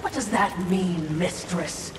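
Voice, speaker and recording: A man's voice: slow, noisy background. A man voicing an obsequious, sardonic spirit servant in a video game, speaking in a formal, snide and fawning way. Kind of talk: theatrical video game dialogue. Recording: echoey, distant, loud rumbling game ambience.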